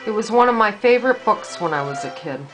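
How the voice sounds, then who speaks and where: An older woman, a grandmother reading a storybook aloud to a child in an expressive, deliberate storytelling manner. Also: medium pitch, soft background music.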